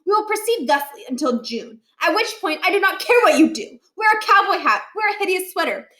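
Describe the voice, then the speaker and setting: A woman's voice: very high-pitched, very animated, brisk, fairly bright tone, reverberant, pitched above the performer's own voice, full character voice. A woman voicing a stern, humourless older male school principal in a storybook, pompous and commanding, with clipped, formal phrasing. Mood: stern, pedantic.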